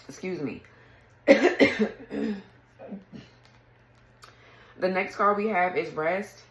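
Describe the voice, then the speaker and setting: A woman with a raspy morning voice, giving a casual tarot reading to camera, chatty and often clearing her throat. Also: medium pitch, extremely slow, pauses often, a bit bright, echoey room, poor audio. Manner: chatty, clears throat often, reads card texts aloud. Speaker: tarot reader.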